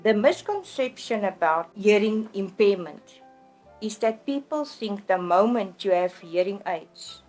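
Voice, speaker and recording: Earnest Afrikaans-accented woman speaking. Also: medium pitch. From South Africa. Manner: earnest, plainspoken, often restarts words. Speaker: store clerk telling her story. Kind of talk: personal testimony in an interview.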